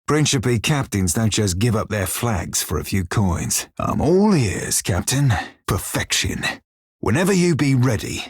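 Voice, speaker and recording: An actor playing a wry, crafty pirate sailor in a video game, speaking in salty, colloquial seafaring dialect with a husky, rough-edged voice. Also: male voice, medium pitch, dry recording, clean studio sound. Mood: wry, jaunty. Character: roguish male pirate sailor, wry, crafty, loyal crewmate. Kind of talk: scripted video game dialogue.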